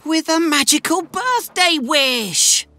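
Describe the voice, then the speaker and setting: A woman voicing a cheerful, eager young boy tank engine in a children's cartoon, with a bubbly, expressive manner. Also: sits high, slow pace, full character voice. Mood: musing. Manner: bubbly, wide-eyed, expressive.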